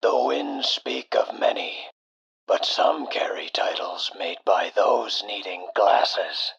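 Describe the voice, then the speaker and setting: A man voicing a hulking lady mutant who rambles slowly in cryptic riddles with a husky, gravelly rasp. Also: low voice, lively intonation, a bit bright, in a whisper, dull audio, full character voice. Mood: cryptic, ominous. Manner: slow, cryptic, speaks in riddles. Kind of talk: post-apocalyptic audio drama acting.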